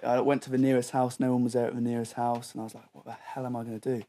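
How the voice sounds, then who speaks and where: A man's voice: medium pitch, flat delivery, rapid-fire, mellow. A young adult British man telling an anecdote in a casual, slangy way, quoting his own thoughts as he goes.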